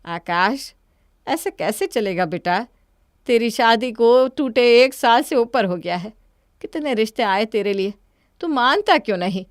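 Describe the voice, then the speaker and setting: A woman voicing an ageing, anxious mother in a cartoon story, gently persistent and pleading. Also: fairly high pitch, animated, measured pace, dry recording, studio quality. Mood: worried, coaxing. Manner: coaxing, pleading.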